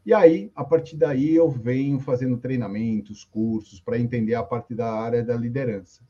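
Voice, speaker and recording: A man's voice: medium pitch, moderate pace, warm tone, lo-fi sound. A man in his fifties, a coach being interviewed, telling his story in an easygoing way with fillers. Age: 50s. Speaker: guest coach. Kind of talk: casual interview on a live stream.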